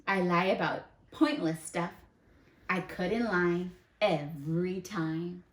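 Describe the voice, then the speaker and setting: A woman plays a naughty little sunflower seed from a picture book, proudly confessing to being bad. The delivery is slow and theatrical, stretching key words for effect, with noticeable room echo around it. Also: lowish voice. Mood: dramatic boasting. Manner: dramatic, drawn-out key words. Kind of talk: children's storybook character read aloud.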